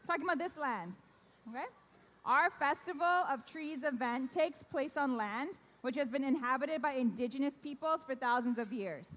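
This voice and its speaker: A woman's voice: high-pitched, moderate pace, a bit bright. A young adult woman, a Korean native speaker raised in Canada, hosts an awards ceremony for young readers with upbeat, coaxing call-and-response. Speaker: event host. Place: large hall. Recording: long reverb of a big hall.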